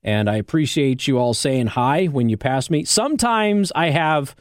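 Animated American male talk radio host. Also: medium pitch, moderate pace, no room sound, clean studio sound. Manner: emphatic, argumentative, rhetorical check-ins like "okay?". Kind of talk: opinionated news commentary, conversational monologue. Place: radio studio.

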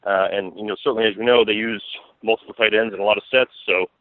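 A man's voice: fairly low pitch, steady tone, fast, no echo. A man giving casual sports analysis as an interview guest, thinking aloud in a rambling, conversational way. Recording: tinny, dry phone-like radio line.

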